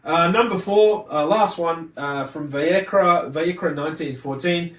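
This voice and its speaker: Higher-voiced man teaching in an echoey room. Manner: halting, with repeated words and self-corrections. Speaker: religious teacher presenting a study video. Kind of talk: informal scripture lesson, reading text and commenting. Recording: roomy echo, distant mic, faint noise.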